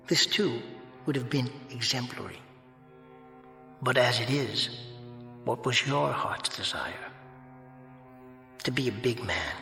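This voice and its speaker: An actor playing a calm, sardonic, otherworldly judge in a radio drama, speaking in measured, formal, mocking phrases through heavy reverb. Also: male voice, mid-range voice. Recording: long echoing reverb, music behind.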